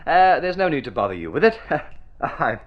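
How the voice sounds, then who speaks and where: An actor playing a breezy, impatient young heir in an old radio drama, offhand and evasive, stammering when flustered. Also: male voice, on the high side, very animated, normal speed. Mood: evasive, falsely cheerful. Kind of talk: golden-age radio drama acting. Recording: muffled vintage radio recording.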